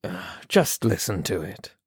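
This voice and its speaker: A man playing a watchful facility doctor, dictating case tapes in a measured, formal, detached way for an audio drama. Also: sits low, big pitch swings, faint music behind. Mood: clinical, wary. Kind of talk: recorded case notes in a horror audio drama.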